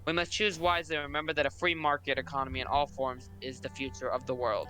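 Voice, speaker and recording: A man voicing an argumentative free-market minister in a fan reading of a political game, formal but slightly halting. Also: medium pitch, little pitch movement, sharp tone, natural voice, rough recording. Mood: argumentative, insistent. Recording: music behind the voice.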